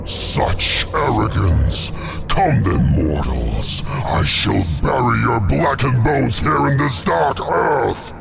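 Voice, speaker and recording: An ancient, arrogant dragon in a fantasy game cutscene. He speaks low and very slowly, with grand menace and wide swoops of pitch, under loud music. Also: big pitch swings, vocal fry, reverberant, distant mic, heavily acted. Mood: wrathful, threatening. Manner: grandiose, slow and ominous.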